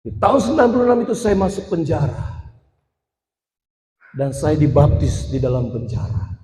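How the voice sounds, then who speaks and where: A man in his forties delivers a sermon testimony in a slightly raised register. He talks straight to the congregation and swells into loud, emphatic stretches. The church hall rings with a long, echoing reverb. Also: a little high, very animated, moderate pace, slight background noise. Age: 40s. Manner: addresses congregation directly, rises to emphatic loud passages. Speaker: preacher giving testimony.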